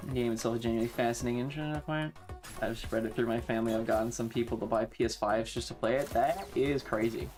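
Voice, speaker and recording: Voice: medium pitch, steady tone, fast, darkish tone, soft background music. A streamer chatting casually and swearing freely while playing a game on a livestream.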